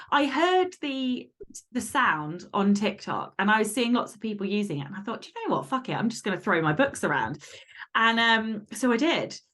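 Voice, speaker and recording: A woman's voice: on the high side, a bit bright. A woman chatting casually as an interview guest, candid and blunt, swearing freely. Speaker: podcast guest and fantasy romance author.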